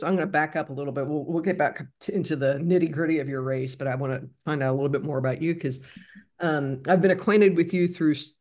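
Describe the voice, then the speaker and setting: A woman of sixty-three, hosting a casual interview in a rambling, easygoing way. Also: sits low. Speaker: podcast host, interviewer.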